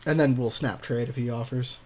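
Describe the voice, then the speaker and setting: A man's voice: mid-range voice, fairly even intonation, fairly quick. A man with a wry, joking, slangy way of talking, co-hosting casual gameplay commentary alongside a friend. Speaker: podcast co-host playing an online card game.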